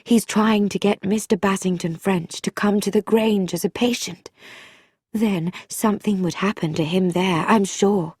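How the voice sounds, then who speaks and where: A woman's voice: mid-range voice, fairly even intonation, measured pace, darkish tone, breathy edge, dry recording, studio quality. A woman voicing a frightened, desperate young wife in a mystery story, hesitant and pleading. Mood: anxious, exhausted.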